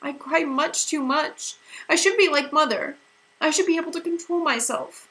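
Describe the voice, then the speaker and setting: A woman voicing an insecure, emotional teenage girl whose voice runs high, strained and shaky. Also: moderate pace, fairly bright tone. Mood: tearful.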